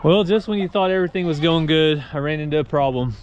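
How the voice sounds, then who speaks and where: Higher-pitched man vlogging in noisy surroundings. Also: quick pace, dull audio. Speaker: family farm vlogger. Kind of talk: casual vlog chat. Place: outdoors on a farm. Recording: noisy handheld outdoor farm recording.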